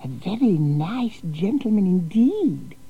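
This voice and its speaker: A man voicing a friendly neighbour woman in a storybook reading, speaking softly and gently. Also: sits high, big pitch swings, slow pace, slightly rough, pitched above the performer's own voice, full character voice. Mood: gentle. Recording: dull lo-fi recording.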